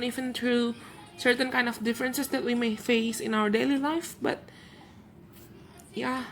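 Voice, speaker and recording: Gentle woman card reader. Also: on the high side. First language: Indonesian. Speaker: tarot and oracle card reader. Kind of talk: channeled card reading for viewers.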